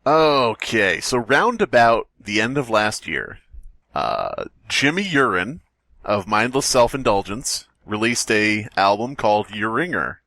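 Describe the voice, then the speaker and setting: A man's voice: fairly low pitch, big pitch swings, unhurried, sharp tone, dry recording. A man co-hosting a podcast, chatting casually in a rambling, crude way and laughing often. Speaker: podcast co-host. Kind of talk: casual banter.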